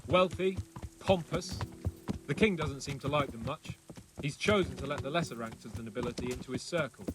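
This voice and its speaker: A man's voice: mid-range voice, brisk, natural voice. A man playing a confident, wry young medieval nobleman in a video game cutscene, opinionated and dryly scornful. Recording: clear noise behind the voice.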